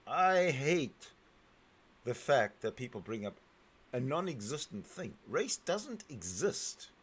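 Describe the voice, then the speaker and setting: A man hosting a talk show, commenting on a clip and jumping in with emphatic, sometimes halting remarks. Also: medium pitch, husky. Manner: animated, emphatic, swings loud and soft. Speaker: show host. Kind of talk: commenting over a played clip.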